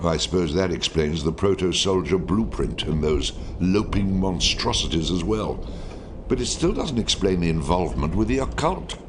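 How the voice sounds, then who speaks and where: A deep, expressive older military intelligence chief, measured and authoritative. He muses deliberately, as in a video game cutscene, over clearly noisy audio. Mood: musing. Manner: deliberate, musing. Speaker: commanding officer.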